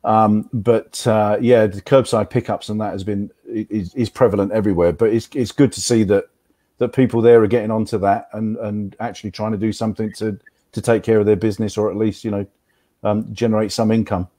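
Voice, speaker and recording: An American man hosts in a relaxed, halting way, filling gaps with ums and repeating words as he thinks. His voice is low-pitched and warm, without any edge. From United States. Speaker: podcast host. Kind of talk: casual conversation.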